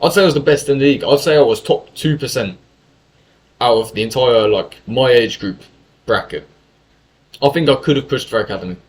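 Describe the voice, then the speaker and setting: Young man, from England, talkative, swears freely, rambling self-corrections, casual monologue, medium pitch. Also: brisk, long pauses. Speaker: YouTuber.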